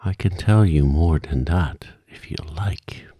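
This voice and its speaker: Man reading as a deep, solemn gryphon. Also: a little slow, dark tone, no echo. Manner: solemn, matter-of-fact. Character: solemn, blunt male gryphon explaining things.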